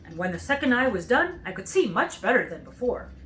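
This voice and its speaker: A woman's voice: fairly high pitch, big pitch swings, fairly quick, a bit bright, slight background noise, low-quality recording. A woman voicing a cheerful, naive scarecrow in a storybook, speaking earnestly and with emphasis. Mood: proud, curious.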